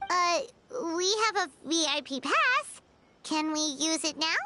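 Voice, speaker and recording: A woman's voice: extremely high pitch, lively intonation, sharp tone, slight background noise, exaggerated voice. A woman voicing a tiny, chatty, nosy female sprite companion in a game. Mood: curious. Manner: bubbly, curious, quick to question. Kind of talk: game voice acting. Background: faint game music.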